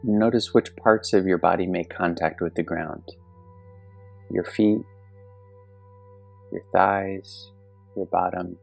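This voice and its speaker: A man with a very low, slightly creaky voice guides a meditation in a calm, gentle manner. He gives measured instructions with long pauses between phrases, over soft background music. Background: soft meditation music with singing bowl tones.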